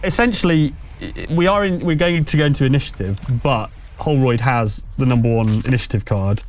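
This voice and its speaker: A man acting as game master, narrating a tabletop roleplaying game in a casual, wry and slightly halting way. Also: mid-range voice. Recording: music playing behind the voice. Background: background music.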